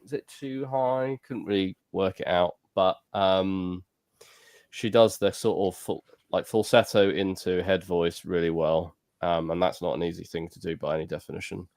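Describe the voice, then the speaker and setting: A man's voice: low voice, fairly even intonation, moderate pace, darkish tone. A man co-hosting a music review podcast, chatting hesitantly with many ums while praising a singer's vocals. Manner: hesitant, lots of ums, enthusiastic about vocals. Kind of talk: casual chat.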